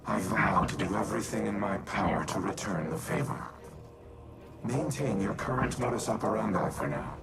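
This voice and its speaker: A man voicing a stately artificial intelligence in a robot body for a video game, speaking in a formal, measured, solemn way. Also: lowish voice, creaky. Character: Rasputin, stately male war-machine AI in a robot body. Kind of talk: scripted video game dialogue. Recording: loud music behind the voice.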